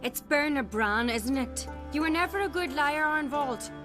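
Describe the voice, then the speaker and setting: Bold, high-voiced young clanswoman. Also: animated, moderate pace, over music. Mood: firm, urgent. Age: young adult. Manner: direct, resolute. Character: bold, determined young clanswoman accusing a rival. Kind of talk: dramatic fantasy game acting. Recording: dramatic score behind dialogue.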